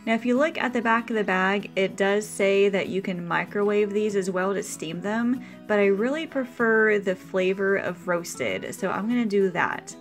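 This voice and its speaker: A woman's voice: mid-range voice, moderate pace, few pauses. Woman, cooking host, casual step-by-step voice-over, chatty, faint music behind. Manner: chatty, practical, explaining step by step. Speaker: meal prep video host.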